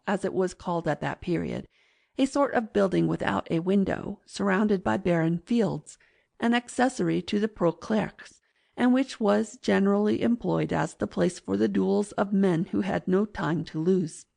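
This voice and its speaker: A woman reading classic fiction as an audiobook narrator in a measured, literary manner. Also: medium pitch, creaky, a little mellow.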